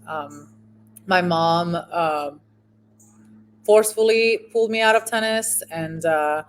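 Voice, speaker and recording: A woman's voice: lowish voice, slight background noise. A woman from Venezuela in her thirties, a former pro volleyball player telling her story in a casual interview, with frequent ums and restarts.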